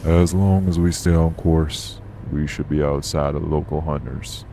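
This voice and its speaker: Man acting a very deep, gravelly, wary vampire. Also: steady tone, unhurried, mellow, a little hiss or hum. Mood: calm, wary. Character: steady, guarded male vampire traveler, streetwise, wary. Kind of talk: audio roleplay acting.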